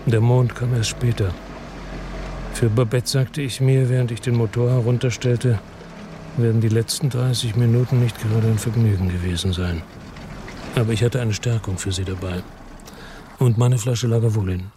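An actor playing an embittered, defiant noir hero in a German radio drama, speaking in a terse, grim, weary way. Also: male voice, medium pitch, steady tone, moderate pace, noisy background, natural voice. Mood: brooding narration. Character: embittered, defiant noir hero telling his own story. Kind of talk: German radio drama acting and first-person narration.

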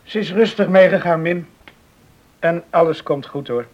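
An actor playing a spirited, outspoken young son in a Dutch radio drama. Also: male voice, fairly high pitch, normal speed, close to an everyday voice. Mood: reassuring. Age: young adult. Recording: dull, muffled radio recording.

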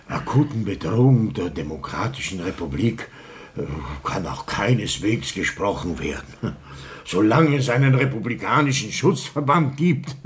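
A pompous, slow-speaking councillor. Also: male voice, lowish voice, slight background noise. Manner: pompous, hesitant, speechifying. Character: pompous, self-important town councillor and club chairman. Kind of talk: radio play acting.

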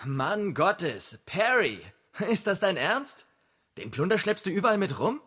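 An actor playing a brash young ex-convict mechanic in a radio drama, talking in blunt, offhand slang. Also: male voice, high-pitched, sing-song, unhurried, a bit bright, slightly rough, dry recording, studio quality, soft background music, heavily acted. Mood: incredulous, mocking. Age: young adult.

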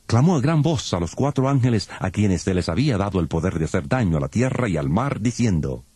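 Low-voiced, expressive man narrating scripture. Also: fairly quick, breathy edge, dry recording. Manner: solemn, dramatic. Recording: loud music behind.

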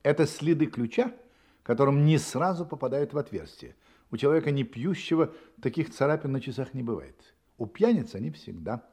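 Confident male detective, radio play, analytical, deliberate, animated intonation, husky tone, dramatic pauses. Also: mid-range voice. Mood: confident, explanatory. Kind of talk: Russian radio play acting.

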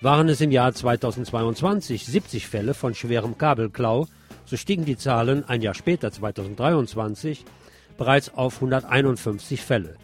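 A man's voice: mid-range voice, normal speed, soft background music. A man presenting scripted radio news, reading reports and adding wry, sardonic asides.

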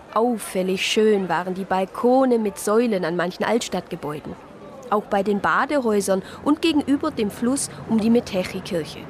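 Eine Schauspielerin spielt eine Diakonisse, die als Ich-Erzählerin in einem Hörspiel von ihren Erlebnissen berichtet. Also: female voice, medium pitch, slight background noise, close to an everyday voice. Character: anxious deaconess recounting her adventures abroad. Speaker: first-person narrator. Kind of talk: radio play narration and dialogue.

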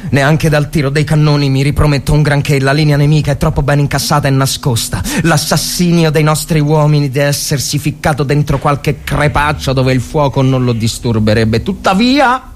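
An actor playing a bitter, anguished Italian officer of the First World War in a dramatic monologue, halting and repeating words. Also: male voice, a little high, a little hiss or hum, lightly acted. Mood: grave. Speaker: actor reading a short story. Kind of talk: dramatic literary monologue, radio reading.

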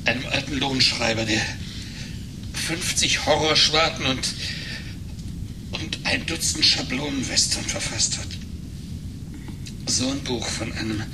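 An embittered, halting failed writer. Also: male voice, medium pitch, big pitch swings. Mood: bitter, halting. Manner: halting, emotionally wrought, accusing. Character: embittered, agitated failed writer confronting his betrayer. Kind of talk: German radio play acting. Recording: noisy 1980s radio play recording.

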